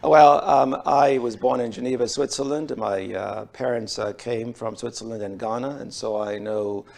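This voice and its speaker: A middle-aged man with a foreign accent, a urologist guest explaining medical facts in a measured, formal way with frequent pauses. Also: mid-range voice, steady tone, vocal fry, darkish tone.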